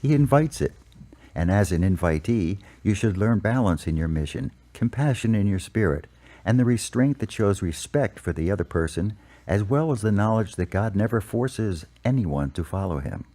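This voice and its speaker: A man playing a patient priest giving measured, explanatory counsel in an amateur audio drama. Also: lowish voice, expressive, mellow.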